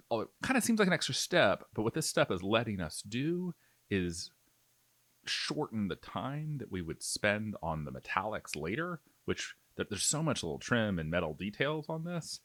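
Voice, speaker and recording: A man's voice: lowish voice, a bit bright, no echo. A man giving a casual, explanatory step-by-step tutorial voice-over in an informal way. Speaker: miniature painting tutorial host.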